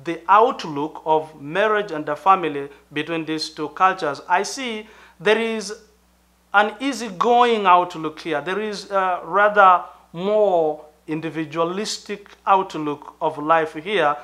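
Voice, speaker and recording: Tanzanian pastor, bright earnest voice. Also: male voice, high voice. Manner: earnest, measured, with thoughtful pauses and fillers. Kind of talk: sermon-like talk to camera.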